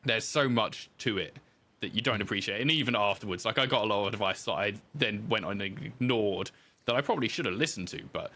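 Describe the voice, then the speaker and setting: A man speaks as a guest in a relaxed podcast interview. His voice sits fairly low but has a very bright, forward edge. He talks informally and makes light of himself as he tells his story. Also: brisk. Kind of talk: casual interview chat.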